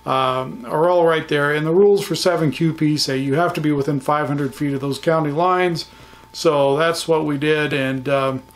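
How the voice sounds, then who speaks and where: Male vlogger, slightly high voice, easygoing and folksy, chatting plainly through a casual recap for viewers. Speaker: ham radio vlogger.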